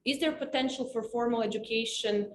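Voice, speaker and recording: A woman's voice: on the high side, fairly quick, slight background noise. A woman moderating a panel discussion, courteous and organized as she introduces speakers and poses questions. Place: conference room. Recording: echoey room, faint ambience.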